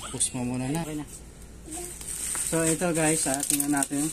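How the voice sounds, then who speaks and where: Filipina vlogger lady, low voice, casual unboxing chat, really noisy recording w birds. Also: steady tone, moderate pace. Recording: very noisy amateur recording with outdoor ambience.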